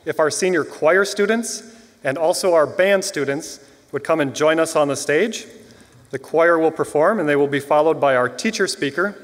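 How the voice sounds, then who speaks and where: A man speaking formally and in a measured way as the school official leading a graduation ceremony. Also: fairly high pitch. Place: large church auditorium with long reverb. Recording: echoey large hall PA.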